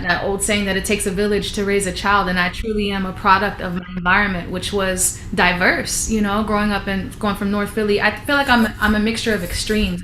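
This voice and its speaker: A woman talks reflectively as an interview guest, often restarting her sentences. Her voice sits mid-pitch. The room sounds echoey with a faint hiss behind her. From Philadelphia, USA. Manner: warm, reflective, many fillers and restarts. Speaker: musician guest. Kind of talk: casual interview talk. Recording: roomy room with faint noise.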